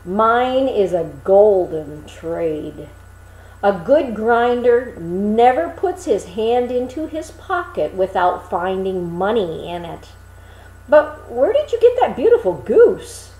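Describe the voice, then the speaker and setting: A woman's voice: medium pitch, big pitch swings, takes it slowly, mellow, reverberant, low-quality recording. A woman voicing a sly, self-satisfied male tradesman in a storybook tale, boastful and persuasive. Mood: boastful, prying. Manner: smooth-talking, persuasive, boastful.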